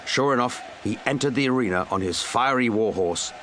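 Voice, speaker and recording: A man's voice: mid-range voice, brisk. A man narrating a comic children's story with dry, wry asides. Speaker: audiobook narrator.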